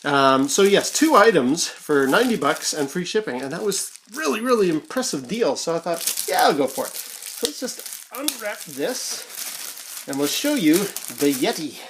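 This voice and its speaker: A casual YouTuber from Canada with a lively, swinging pitch that sits at a middling height. They ramble and hesitate while thinking aloud, on an amateur home recording with faint background noise. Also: measured pace. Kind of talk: casual unboxing vlog.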